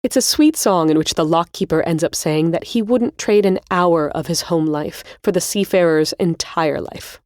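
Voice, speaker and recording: A woman narrates as a podcast host with a slightly low, softly mellow voice. Her delivery is scripted, measured and explanatory, like a spoken essay, captured close and dry. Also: dry recording.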